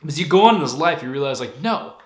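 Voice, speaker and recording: A man's voice: fairly high pitch, fast talker. A young man who grew up in Colorado, talking candidly and with plenty of profanity in a casual vlog monologue. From Denver, Colorado. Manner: candid, profane, animated storytelling. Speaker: vlogger. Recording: echoey room.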